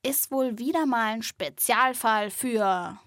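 Eine Frau moderiert einen Kinderpodcast, teils erzählend, teils im spielerischen Dialog. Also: female voice, fairly high pitch, takes it slowly, a bit bright, dry recording, clean studio sound. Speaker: host and reporter of a children's podcast. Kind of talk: scripted narration with playful dialogue.

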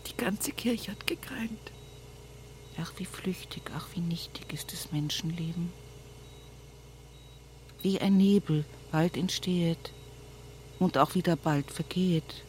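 Old village mum, grieving n pious, real slow, lowish voice, radio play, bit of noise behind. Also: female voice. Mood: wistful, mournful. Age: older. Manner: slow, hushed, often whispering, folksy. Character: grieving old rural mother, devout, plain-spoken, remembering her dead.

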